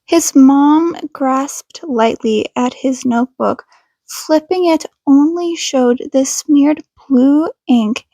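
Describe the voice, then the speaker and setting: High-pitched woman reading a story slowly. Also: mellow, no echo. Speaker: podfic reader. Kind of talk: scripted audiobook narration of fan fiction.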